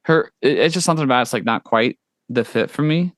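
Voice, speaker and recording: A man's voice: medium pitch, quick pace, no echo. A young man hosts a casual podcast chat in a mid-range voice. He talks loosely, with lots of "like" and "you know", and keeps agreeing and encouraging. The sound is dry and close, with no room around it. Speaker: podcast host.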